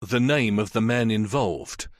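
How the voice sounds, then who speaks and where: A man voicing a police inspector who questions a witness in a measured, firm way. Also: lowish voice, dry recording, clean studio sound, close to an everyday voice. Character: stern, patient male police inspector. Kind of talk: audiobook character voice.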